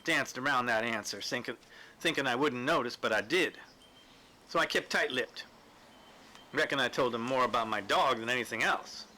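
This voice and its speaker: A man's voice: mid-range voice, moderate pace, pushed and bright. A man reading a storybook role as a plain-spoken, shrewd rustic war veteran with a folksy, blunt way of talking. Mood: shrewd, matter-of-fact. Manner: folksy, dialect-laden, blunt. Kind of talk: storybook character dialogue.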